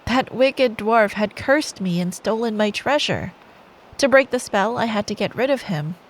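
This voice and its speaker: A woman voicing a gentle, earnest young prince in a storybook. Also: mid-range voice, lively intonation, unhurried, rough recording. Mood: gentle, grateful. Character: gentle young prince freed from a bear's curse. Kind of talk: storybook character voice.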